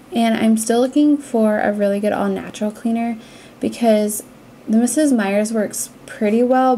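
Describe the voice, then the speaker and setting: Young american lady doing casual vlog voice-over, mellow and conversational, bit of noise behind her. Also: medium pitch, vocal fry. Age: young adult. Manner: conversational, explanatory. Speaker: cleaning vlogger.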